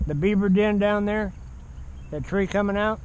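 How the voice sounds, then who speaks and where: A man with a fairly high voice chats casually while fishing from a grassy pond bank, easygoing and excited as he reels one in. It is picked up by a body-worn action camera outdoors, with light nature noise in the background. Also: moderate pace, highs cut off. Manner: easygoing, excited cheering a fish on. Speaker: fishing channel host. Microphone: chest or head-mounted action camera.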